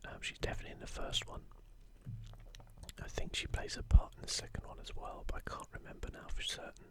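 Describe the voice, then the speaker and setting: Man whispering ASMR commentary. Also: brisk. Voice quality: breathy, unvoiced whisper. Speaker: ASMR video host.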